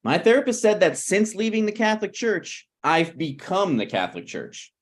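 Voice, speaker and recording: A man's voice: sits high, sing-song, moderate pace. A man playing an awkward, meddling friend in a sitcom table read, hesitant and apologetic yet blunt. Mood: defensive. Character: awkward, opinionated, meddling male friend of a married couple. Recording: online video call table read.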